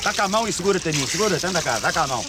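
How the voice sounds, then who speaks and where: A man's voice: a little high, animated, racing, natural voice. An actor playing a Portuguese fisherman, a native Portuguese speaker giving brief, practical orders in a radio drama. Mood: urgent. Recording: very noisy sloshing water ambience.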